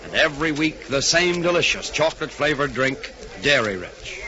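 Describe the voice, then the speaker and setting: A man's voice: fairly high pitch, lively intonation, normal speed, muffled recording. A man working as a 1940s radio announcer and narrator, with a polished, theatrical scripted delivery. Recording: band-limited 1940s radio recording. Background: music.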